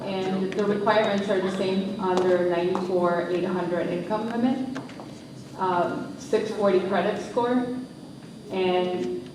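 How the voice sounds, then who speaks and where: A woman's voice: mid-range voice, steady tone, a little slow. A woman presenting a housing program, giving matter-of-fact, precise answers to questions at a public meeting. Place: village board meeting room. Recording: echoey noisy hall, picked up from far off.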